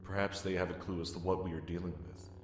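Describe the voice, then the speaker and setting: A man's voice: low-pitched, little pitch movement, fast, reverberant, a little hiss or hum, natural voice. A man playing a cautious, thoughtful Jedi master in an audio comic, formal and pensive. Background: music.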